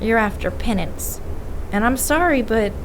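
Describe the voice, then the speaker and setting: Actress as steady, blunt woman investigator, noisy backdrop. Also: fairly high pitch, a little slow. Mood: calm, forgiving. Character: steady, determined woman investigator of the supernatural, forgiving but blunt. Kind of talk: naturalistic audio drama acting.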